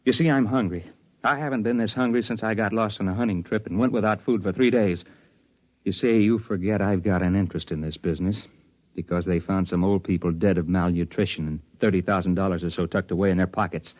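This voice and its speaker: An American actor playing a stubborn, suspicious investigator in a 1950s radio drama. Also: male voice, deep voice, steady tone, brisk, a little mellow, no room sound, close to an everyday voice. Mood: wry, accusing. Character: hard-boiled male investigator, stubborn, suspicious and driven. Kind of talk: 1950s radio drama acting and first-person narration. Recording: vintage 1950s radio, muffled, faint music.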